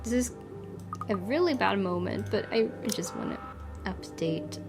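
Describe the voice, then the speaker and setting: Young woman streamer reading game text with casual asides, mid-pitched, loud game music under her. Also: animated, a little slow. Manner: reads narration with chatty asides. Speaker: gaming streamer. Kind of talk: reading a visual novel aloud.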